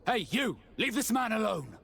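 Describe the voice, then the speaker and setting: A man playing a gruff, protective, blunt adventurer in a video game, with a gravelly, husky voice. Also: high voice, sing-song, normal speed, a bit bright, big-room sound, exaggerated voice. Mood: defiant. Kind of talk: video game dialogue acting.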